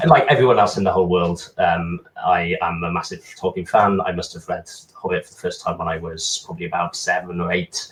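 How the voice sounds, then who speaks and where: A man's voice: sits low, roomy sound, recorded from a distance, rough recording. A man answering interview questions casually, hesitant with many ums and restarts. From Wales. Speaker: interview guest, translator.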